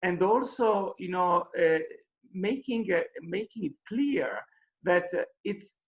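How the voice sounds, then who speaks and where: A man's voice: high voice, expressive, slow pace, phone-quality sound. A male panelist commenting on a book, hesitant with many fillers and restarts. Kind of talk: commenting in a discussion.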